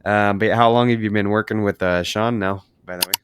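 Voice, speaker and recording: A man's voice: on the low side, fairly even intonation, fairly quick, background noise. A man hosting a podcast, asking long, rambling questions packed with fillers. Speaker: podcast host. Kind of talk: casual podcast interview.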